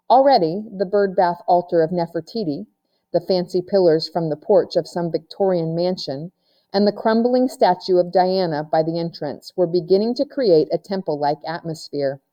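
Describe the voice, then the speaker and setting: A woman's voice: fairly low pitch, mellow, muffled recording. A woman narrating a children's novel aloud, like a teacher reading to pupils.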